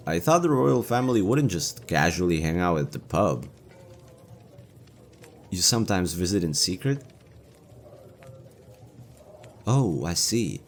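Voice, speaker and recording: A man's voice: deep voice, creaky, slight background noise, full character voice. A man playing a young elven leader and playful, affectionate boyfriend in a romantic audio roleplay, talking in a casual, teasing way. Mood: curious, teasing.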